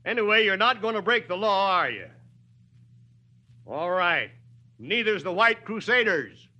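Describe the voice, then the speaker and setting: An actor playing a folksy, firm small-town mayor addressing a rally in a 1940s radio drama, plain-spoken and emphatic. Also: male voice, high-pitched, big pitch swings, a little slow, bright, forceful tone, exaggerated voice. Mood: firm, persuasive. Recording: old 1940s radio broadcast.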